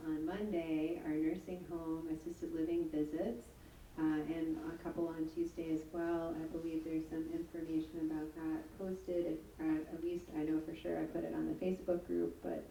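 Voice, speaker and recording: Dark-voiced woman leading a service. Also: low-pitched, steady tone, moderate pace. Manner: earnest, with many ums and uhs. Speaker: service leader. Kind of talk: announcements and closing prayer. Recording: echoey room, far from mic, faint hiss.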